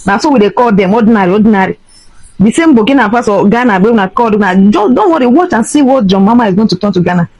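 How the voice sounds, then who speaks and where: Fast-talking Nigerian woman ranting. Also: mid-range voice, animated, a little mellow, no room sound, poor audio. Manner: emphatic, indignant, switching between English and Pidgin. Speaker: social media vlogger. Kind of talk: impassioned rant to camera.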